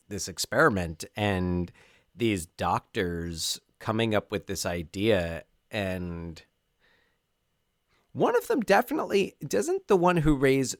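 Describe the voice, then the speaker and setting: Man co-hosting a podcast, lively restarts. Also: fairly low pitch, no room sound, studio quality. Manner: animated, frequent restarts. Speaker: podcast co-host. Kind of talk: casual chat.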